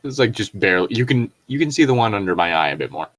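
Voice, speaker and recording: A man's voice: lowish voice, very animated, fast. A man hosting a casual fanfiction reading, commenting with incredulous, sweary asides. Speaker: host.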